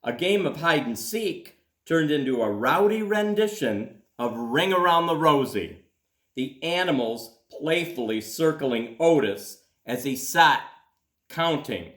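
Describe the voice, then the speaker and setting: Slow, expressive male storyteller in echoey room. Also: a little high. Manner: deliberate, expressive storytelling with dramatic pauses. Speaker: school principal reading aloud. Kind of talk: children's storybook read-aloud.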